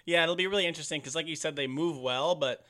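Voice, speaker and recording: A man hosting a podcast interview, asking questions in a relaxed manner and often answering with short acknowledgements. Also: on the high side, fast. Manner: relaxed, with frequent short backchannel replies. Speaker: podcast host.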